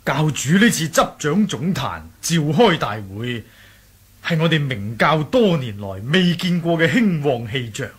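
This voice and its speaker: Casting: zealous martial sect elder, highish raspy voice, declamatory, loyal, Hong Kong Cantonese, old radio drama. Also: male voice, sing-song, a little slow, full character voice. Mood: fervent. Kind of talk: 1980s radio drama acting.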